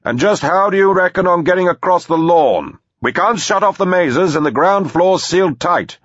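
Man acting a booming, suspicious manor guard, raised pitch. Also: lively intonation, moderate pace, breathy edge, dry recording, muffled recording, full character voice. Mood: suspicious, commanding. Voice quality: loud, booming. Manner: blunt, threatening.

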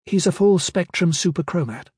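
A man's voice: high voice, lively intonation, moderate pace, darkish tone, slightly rough, no echo, clean studio sound, pitched above the performer's own voice, full character voice. A man voicing a timid, nervous young woman magister who speaks hesitantly. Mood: explaining. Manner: hesitant, meek.

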